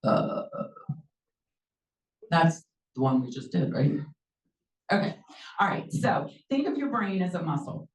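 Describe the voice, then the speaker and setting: A middle-aged woman gives a conversational lecture in a low voice, filling pauses with ums. She sounds a bit far from the mic, with the room's echo around her. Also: sing-song. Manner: conversational, many ums, acts out quoted dialogue. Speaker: presenter, language teacher. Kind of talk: lecture presentation. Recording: echoey room, mic from afar.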